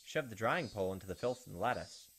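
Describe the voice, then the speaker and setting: A man's voice: lowish voice, animated, fast talker, fairly bright tone, slight background noise. A man hosting a visual novel playthrough, reading narration aloud and commenting casually. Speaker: gaming video host.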